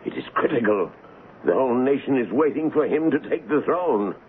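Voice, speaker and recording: An actor playing a shrewd, commanding royal colonel in a radio drama, speaking with emphatic, persuasive deliberation. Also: male voice, medium pitch, lively intonation, moderate pace, lightly acted. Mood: urgent, grave. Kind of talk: old-time radio drama acting. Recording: muffled, noisy vintage radio broadcast.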